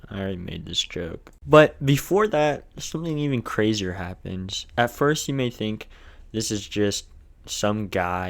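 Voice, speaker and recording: A man with a lisp narrating a video game challenge run in a casual, crude, jokey way. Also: on the low side.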